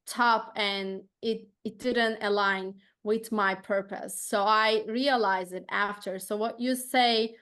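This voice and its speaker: A woman's voice: medium pitch, fairly bright tone. A woman from Turkey, a native Turkish speaker, hosting a podcast in English with a Turkish accent, talking earnestly and restarting her sentences as she thinks. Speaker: podcast host. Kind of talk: casual interview chat.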